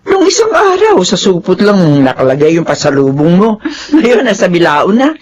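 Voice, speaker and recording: A woman's voice: lowish voice, sing-song, moderate pace, darkish tone, slightly rough. An actress in a Filipino radio drama playing a middle-aged, skeptical and wondering mother of a grown daughter. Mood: surprised, wondering. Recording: dull radio sound.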